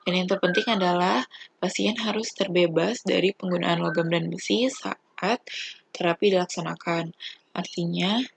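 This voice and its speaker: A young adult woman, a student giving an instructional presentation in a halting, deliberate, step-by-step way. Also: lowish voice, fairly even intonation, gravelly. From Indonesia.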